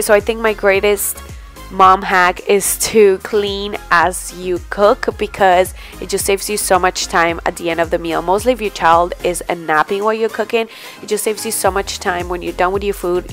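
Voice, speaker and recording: A young woman and mother chatting casually to the camera in her home kitchen, relaxed and a little rambling. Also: lowish voice, normal speed, soft background music. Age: young adult. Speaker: vlogger, mom.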